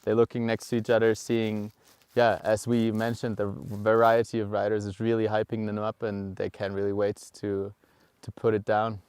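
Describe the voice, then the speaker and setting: A man answering as a guest expert in a live studio, calm and measured, a little nervous. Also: on the low side, clean tone, dry recording.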